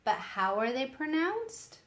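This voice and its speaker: A woman's voice: high voice, sing-song, takes it slowly, fairly bright tone, pitched above the performer's own voice, exaggerated voice. Woman reading as an insistent, exasperated Frenchman, echoey room. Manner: emphatic, protesting. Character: excitable Frenchman teaching pronunciation, insistent and exasperated.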